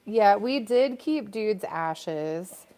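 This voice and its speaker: A woman's voice: mid-range voice, expressive, extremely slow, mellow. An American woman co-hosting a casual live stream, chatting upbeat and reading out viewer comments.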